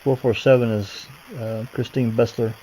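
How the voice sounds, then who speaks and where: A man's voice: medium pitch, fairly even intonation, normal speed, heavy background noise. A man hosting a show, casually commenting on recordings and identifying who is talking. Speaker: host commenting on archived police radio recordings.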